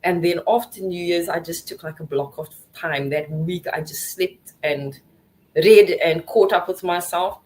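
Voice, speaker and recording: A South African woman hosts a relaxed podcast chat. Her voice sits a little low, and she asks chatty follow-up questions over a video call. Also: a little slow, low-quality recording. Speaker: podcast host.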